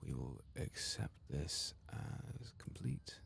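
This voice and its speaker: A man softly murmuring and half-whispering gameplay commentary in a husky, breathy voice, like an ASMR host. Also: extremely low pitch, very animated, takes it slowly, a little hiss or hum.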